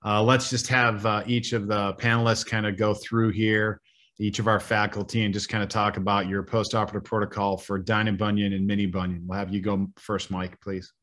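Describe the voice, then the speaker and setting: A man's voice: on the low side, flat delivery, brisk, a bit bright. A man moderating a surgical panel over a video call, asking loose, filler-heavy questions. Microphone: video call webcam.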